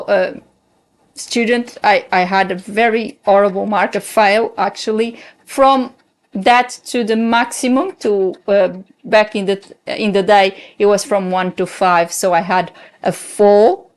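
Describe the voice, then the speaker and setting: A woman whose first language is Portuguese teaches a language course in an earnest, encouraging way, with frequent ums and restarts. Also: a little high. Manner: hesitant, many ums and restarts. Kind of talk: talking-head lesson.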